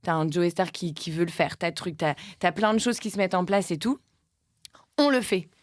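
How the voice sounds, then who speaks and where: A woman's voice: on the low side, a little fast, no room sound, studio quality. French woman in her late thirties, rambling. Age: late 30s. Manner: rambling, many restarts and fillers. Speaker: actress and film producer. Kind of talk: casual interview talk.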